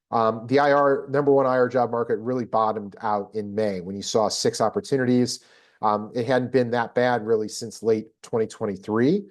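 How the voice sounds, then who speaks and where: A man hosting a business data presentation, explaining figures in a measured, analytical way. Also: medium pitch, a little mellow. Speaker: host presenting a job market report.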